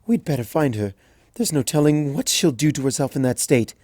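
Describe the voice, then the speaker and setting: A woman voicing a gentle, refined unicorn mare who speaks in a posh, soothing way. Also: low-pitched, animated, brisk, dry recording, studio quality. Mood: worried. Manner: posh, soothing, calls others darling.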